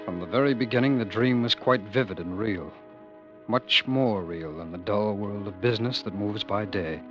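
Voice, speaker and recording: An actor in a vintage radio drama, playing a haunted man who once ruled the world, who narrates his dream with earnest, halting intensity. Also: on the low side, over loud music, natural voice. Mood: intense. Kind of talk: 1940s radio acting. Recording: dull old radio broadcast.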